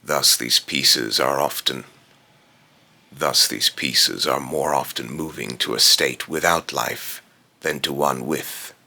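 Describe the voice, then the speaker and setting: A man plays a brooding spirit from beyond, solemn and otherworldly. The voice is extremely low, with a hard, forceful edge. Each phrase comes slowly and deliberately, rasping as it goes. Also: full character voice. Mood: solemn, ominous. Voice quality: raspy. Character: Phobos, brooding otherworldly male spirit of fear and death. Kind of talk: voice line recording with retakes.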